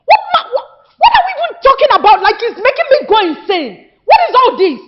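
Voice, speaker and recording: A woman's voice: very high voice, very animated, brisk, lo-fi sound. A woman with a Nigerian accent playing an outspoken, indignant daughter who scolds her family with repeated questions in a film drama. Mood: furious, scolding. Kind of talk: heated film drama acting.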